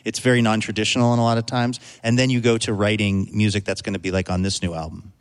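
A man's voice: lowish voice, little pitch movement, fast. A man hosting a music show, introducing a guest and asking long, rambling, friendly questions. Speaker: radio show host. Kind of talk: interview hosting.

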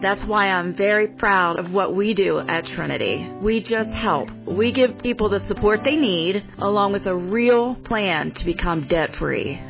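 Gravelly-voiced woman giving a sincere testimonial. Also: mid-range voice, lively intonation, a little slow, hardly any pauses. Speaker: debt counselor. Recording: lo-fi radio ad with music bed.

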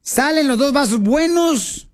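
High-voiced joking Mexican radio host. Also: male voice, expressive, unhurried. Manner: playful, hammy, addresses listeners.

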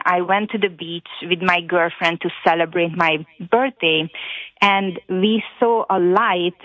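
A woman's voice: lowish voice, no room sound. A woman with a Hungarian accent and non-native grammar, calling a radio show over the phone to give an earnest, emphatic personal account. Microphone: telephone. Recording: tinny narrow phone line.

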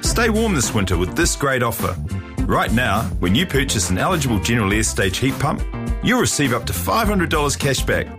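A man reading a radio advert voice-over in a smooth, persuasive sell. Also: mid-range voice, sing-song, fairly quick, over music. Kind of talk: scripted ad read.

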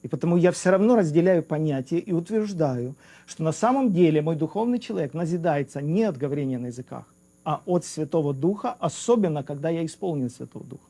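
A man's voice: a little high, expressive, fast talker, dark tone. A man in a theological debate, raising polite objections and pressing questions.

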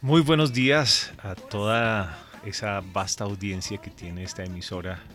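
A man's voice: fairly low pitch, measured pace, a bit bright, soft background music. A man who is a pastor, raised in Colombia, telling a story earnestly and measuredly in a radio interview.

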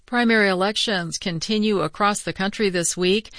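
A woman's voice: mid-range voice, moderate pace, a bit bright, dry recording. Composed female newscaster, dry studio sound. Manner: crisp, composed delivery. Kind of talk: scripted news reading.

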